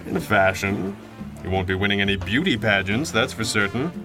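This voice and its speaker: An actor playing a confident, wry masked crime-fighter in a pulp radio drama, measured and dramatic. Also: male voice, lowish voice, expressive, over music, lightly acted. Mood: relieved, wry.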